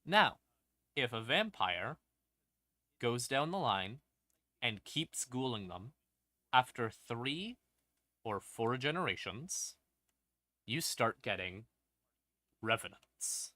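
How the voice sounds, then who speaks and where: Bright-voiced man explaining lore on stream. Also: medium pitch, very animated, no room sound, clean studio sound. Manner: halting phrasing with pauses and emphatic stresses. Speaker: livestream host. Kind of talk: casual lore explainer to a live audience.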